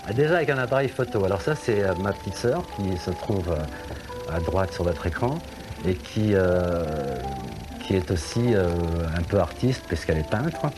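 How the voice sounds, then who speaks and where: Deep-voiced man rambling through stories. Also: lively intonation, normal speed, over music, poor audio. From France. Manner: rambling, anecdotal, with many hesitations. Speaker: singer guest on a TV show. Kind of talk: chatty interview talk.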